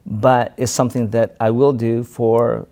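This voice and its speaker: A man from the Philippines, a self-defense instructor, talking as a guest in an interview, halting with many fillers and restarts. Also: mid-range voice, level tone, mellow. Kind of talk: casual interview conversation.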